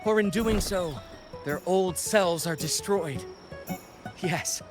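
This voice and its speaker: An actor playing a fervent, prophet-like philosopher in a video game, declaiming poetic exhortations. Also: male voice, high voice, slow, music in the background. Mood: fervent, exalting. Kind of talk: dramatic game monologue.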